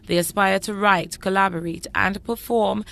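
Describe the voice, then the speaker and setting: A female news reporter reading a scripted voice-over in a measured, formal way. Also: mid-range voice, steady tone, vocal fry, fairly bright tone.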